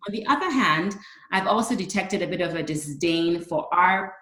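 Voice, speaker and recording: Female panelist, thirties, low voice, measured explanatory delivery, echoey room. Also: lively intonation, a bit bright. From Ghana. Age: 30s. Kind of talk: considered panel remarks.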